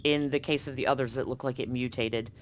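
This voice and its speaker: A man narrating a live tabletop game as game master, conversational and often halting to correct himself. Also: medium pitch, steady tone, slight background noise. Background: music.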